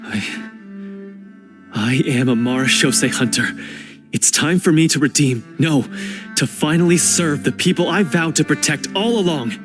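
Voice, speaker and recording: A man's voice: on the high side, normal speed, over music, natural voice. A man voicing a remorseful young male hunter in a game cutscene, speaking in an earnest, hesitant, self-reproaching way and stammering at times. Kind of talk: video game cutscene voice acting.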